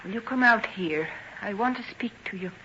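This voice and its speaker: Bright-voiced, protective country daughter played by a woman. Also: mid-range voice, normal speed, close to an everyday voice. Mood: wary. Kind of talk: old-time radio drama acting. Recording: dull old radio recording, faint noise.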